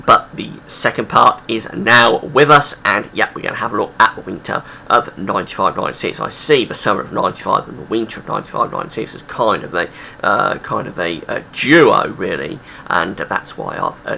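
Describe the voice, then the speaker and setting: A croaky, gravelly man narrating a weather history video in a chatty, rambling way. His pitch swings up and down a lot, and his tone is bright and sharp. A faint hiss of noise runs under the voice. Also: lively intonation, moderate pace. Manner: chatty, rambling with many uh fillers.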